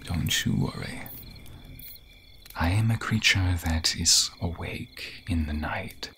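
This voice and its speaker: Casting: gentle, grateful snake-man played by a man for ASMR fantasy roleplay; crisp, sibilant-bright whisper, extremely slow formal delivery. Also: low-pitched, a little hiss or hum, heavily acted. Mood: soothing, protective. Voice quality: breathy whisper. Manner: slow, hushed, formal archaic phrasing. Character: gentle male naga, grateful nocturnal snake-man guardian.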